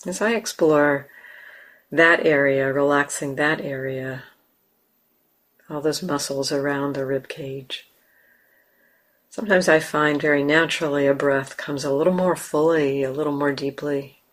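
Woman, american accent, meditation teacher, soft slow guidance, steady even tone. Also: deep voice. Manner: slow, soft, calm, with long pauses. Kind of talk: guided meditation. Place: meditation hall.

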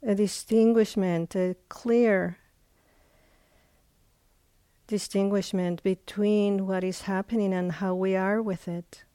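Slow, mellow woman guiding meditation. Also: medium pitch, fairly even intonation, dry recording. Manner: unhurried, with long pauses between short phrases. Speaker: meditation teacher. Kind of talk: guided meditation instruction.

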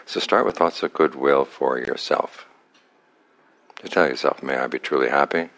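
Man, extremely low voice, meditation teacher, calm, unhurried phrasing, long pauses. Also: gravelly. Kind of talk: guided meditation.

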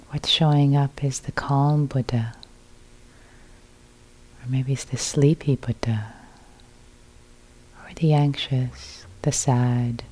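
A woman meditation teacher with a soft, husky, breathy voice, guiding a meditation in sparse, unhurried, soothing phrases. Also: a bass voice, low-quality recording. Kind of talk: guided meditation.

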